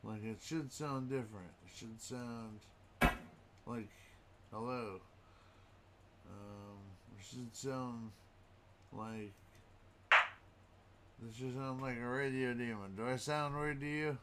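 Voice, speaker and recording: A man's voice: mid-range voice, fairly even intonation, slow pace, long pauses, very noisy. A man hosting a live stream in casual chat, rambling, repeating himself and asking his viewers questions. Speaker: streamer host.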